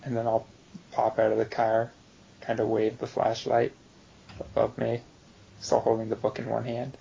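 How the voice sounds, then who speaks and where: A man's voice: mid-range voice, monotone, normal speed, creaky, warm tone, husky. A man playing a tabletop game, casually describing his character's actions, on a dull mic. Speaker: player.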